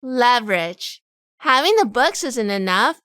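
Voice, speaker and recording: A woman acts out a sharp-tongued dame from a hardboiled gangster radio play. Her voice is clear and fairly high, with lively swings in intonation. She is brisk, sardonic and always a step ahead. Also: dry recording, clean studio sound. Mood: knowing. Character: quick-witted, sharp-tongued wife among gangsters, also narrating. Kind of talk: hardboiled 1940s gangster audio drama acting.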